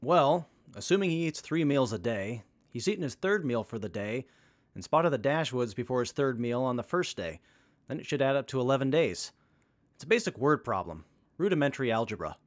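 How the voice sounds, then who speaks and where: An earnest, brainy young crewman, played by a man, speaks politely and likes to explain. The voice sits at a medium pitch and moves up and down freely, in comic audio-drama style. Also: sing-song, a little fast, lightly acted. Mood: matter-of-fact. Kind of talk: comic post-apocalyptic audio drama acting.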